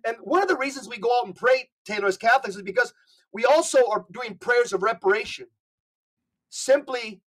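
A man speaking as a podcast guest, urging listeners with rapid lists of instructions. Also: very high-pitched, a bit bright, low-quality recording. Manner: urgent, exhorting, rapid lists. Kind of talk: casual podcast talk.

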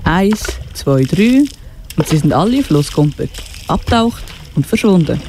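A young narrator reads a storybook in a Swiss German accent. The pitch is medium, and clear noise runs behind the amateur recording. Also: very animated, unhurried, a little mellow. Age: child or teenager.

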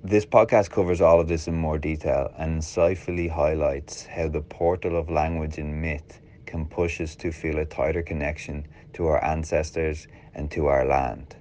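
A man's voice: a bass voice, fairly even intonation, normal speed, few pauses, mellow, a little hiss or hum. A man hosting a podcast, reading an introduction and then asking casual questions. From Ireland. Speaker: podcast host.

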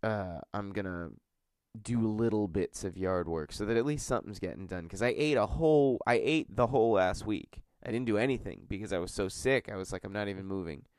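A congested man with a low, smooth voice, talking in a loose, rambling podcast chat. He fills his sentences with likes and ums. The sound is dry and close, with no room echo. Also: fairly quick, a little mellow. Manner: rambling, filler-heavy storytelling. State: congested from a cold. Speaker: podcast host.

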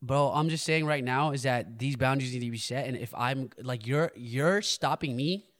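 A man's voice: medium pitch, moderate pace. Earnest young man, slangy podcast host. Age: young adult. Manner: earnest and animated, slangy, breaks into laughter. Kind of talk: casual podcast chat.

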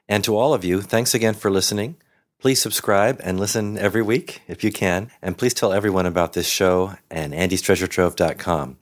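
Low-voiced man hosting a podcast. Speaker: podcast host. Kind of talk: friendly podcast sign-off.

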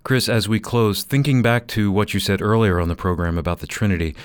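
A man's voice: lowish voice, brisk, smooth, soft background music. A man speaking as a radio announcer, delivering scripted program lines. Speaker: radio announcer and executive producer. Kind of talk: scripted radio outro and interview prompt.

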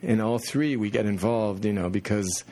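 Low, mellow middle-aged man from France. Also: moderate pace. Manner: rambling anecdotes with many fillers. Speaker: production designer. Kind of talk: casual interview storytelling.

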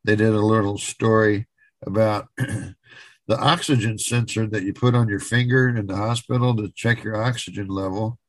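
A man's voice: fairly low pitch, steady tone, moderate pace, no echo. A man co-hosting a podcast, casually retelling odd news stories in conversation. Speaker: podcast co-host.

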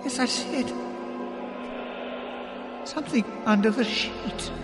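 An actor playing a frightened, pleading old miser in a radio drama, halting and theatrical. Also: male voice, very high-pitched. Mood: horrified. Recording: echoey reverberant hall with loud music.

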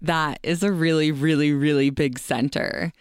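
A woman hosting a podcast in a conversational interview style, with a smooth, clear voice. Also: low voice, fairly even intonation, moderate pace, no room sound, studio quality.

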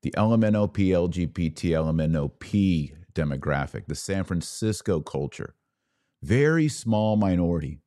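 An American man hosting a political commentary podcast, speaking in a deliberate, emphatic monologue that leans on repeated words for stress. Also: deep voice, animated, mellow. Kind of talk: opinion monologue to camera.

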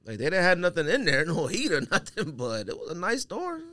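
A man's voice: on the high side, a little fast, no room sound, clean studio sound. A middle-aged man with an American accent bantering casually about sneakers as a podcast co-host, telling slangy anecdotes and acting out reactions. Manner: animated, anecdotal, acts out imagined reactions in slang.

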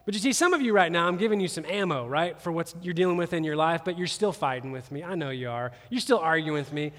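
A pastor with a somewhat high voice preaches an animated, joking sermon and speaks straight to his listeners in a conversational way. His voice carries a long reverb, as if it fills a large church auditorium. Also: fast talker. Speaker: campus pastor.

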